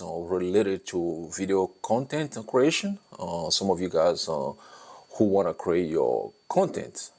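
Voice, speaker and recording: Low-voiced accented man coaching. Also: darkish tone. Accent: non-native English accent. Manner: encouraging, repetitive, many fillers and "okay?" tags. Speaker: YouTube coach for beginners. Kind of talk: talking-head tutorial to camera.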